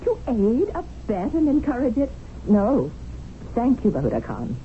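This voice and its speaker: A woman's voice: fairly high pitch, wildly swooping pitch, measured pace. Prim indignant english lady, very up n down voice, thin old radio sound. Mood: scandalized, stubborn. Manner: proper, righteous, articulate. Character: prim, idealistic, indignant Englishwoman newly in India. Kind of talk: radio drama acting. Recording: tinny, noisy old radio broadcast.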